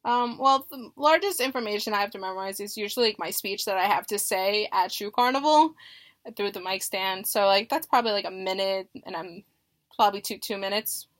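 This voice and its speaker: Young woman doing a job interview by phone, kinda nervous and halting, average pitch. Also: a bit bright. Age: young adult. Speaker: job applicant.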